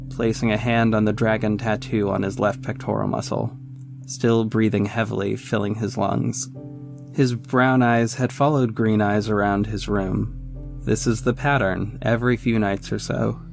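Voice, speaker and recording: A man's voice: fairly low pitch, gravelly. A man narrating an audio drama as its reflective hero, in scripted storytelling style. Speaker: narrator and protagonist.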